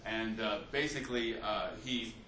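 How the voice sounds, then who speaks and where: A man's voice: fairly low pitch, steady tone, a little slow, sharp tone, slight background noise. A man giving an unscripted history lecture as a teacher, telling it like a story, with many fillers and restarts. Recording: echoey room, mic at a distance.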